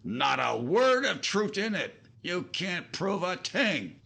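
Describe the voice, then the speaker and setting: A man's voice: sits high, big pitch swings, takes it slowly, vocal fry, pushed and bright, breathy edge, exaggerated voice. A man playing a defiant, slangy gangland boss in the manner of a 1950s radio crime drama. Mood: indignant, defiant. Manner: tough slangy gangster talk, protesting. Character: defiant gangland boss and crooked politician, male.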